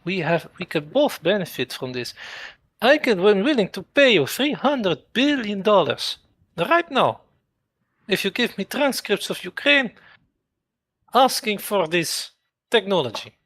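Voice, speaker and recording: A man's voice: high-pitched, no room sound, heavily acted. A man putting on a Russian-accented scheming leader. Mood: bargaining. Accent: put-on Russian. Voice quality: halting, lively, bargaining. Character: scheming male Russian leader, pushy dealmaker. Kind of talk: voice-chat roleplay.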